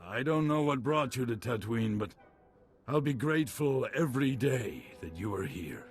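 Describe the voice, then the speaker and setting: Man playing loving dad in a game, heartfelt and tender, normal pitch, soft music behind. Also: lively intonation, moderate pace, close to an everyday voice. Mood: grateful. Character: devoted, grateful father of a daughter. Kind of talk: video game voice acting.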